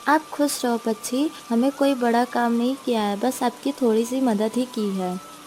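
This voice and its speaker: A woman's voice: on the high side, steady tone, normal speed, mellow, a little hiss or hum, lightly acted. A woman voicing a kind, hopeful mother bird in a cartoon story, reassuring and earnest. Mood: kindly. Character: kind, hopeful mother sparrow, caring leader.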